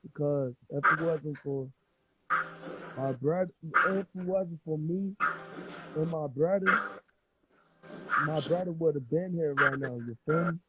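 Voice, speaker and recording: A man from Chicago, a guest on a live stream, talking haltingly through a phone held too far away. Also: fairly high pitch, heavy background noise. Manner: halting, repeats himself, says "you feel me". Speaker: guest, film creator. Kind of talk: casual live-stream talk. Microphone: phone held away, quiet and hard to hear.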